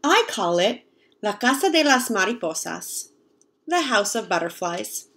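A young woman reading a picture book aloud to children in an expressive, explanatory way. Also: mid-range voice, measured pace. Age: young adult. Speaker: read-aloud storyteller, teacher. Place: home kitchen, indoors.

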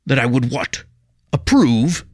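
A man voicing a stern older male weapons master with accented English, delivering measured, scolding rebukes. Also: medium pitch, big pitch swings, no room sound, studio quality, voice pushed up. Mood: reproachful.

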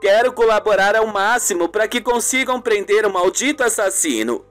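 A man's voice: high-pitched, lively intonation, normal speed, fairly bright tone, faint music behind. Man voicing a tearful, vengeful mother in mourning. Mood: bitter, pleading. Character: grieving, angry middle-aged mother of a murdered actress. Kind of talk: amateur radio soap opera acting.

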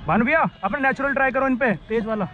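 A young man with a very high voice shouts quick encouragement across an open cricket ground. His calls come through a body-worn camera with clear outdoor noise behind them. He keeps urging his teammates on between deliveries. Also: lo-fi sound. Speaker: cricket player filming from the field. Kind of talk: shouted on-field cricket chatter. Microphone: body-worn action camera.

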